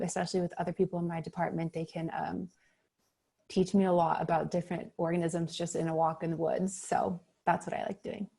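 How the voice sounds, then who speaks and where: A young woman from California introduces herself at an easy pace on a video call, pausing with ums. Her voice is a little lower than most. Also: darkish tone. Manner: easygoing, with ums. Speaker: master's student panelist. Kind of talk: casual self-introduction.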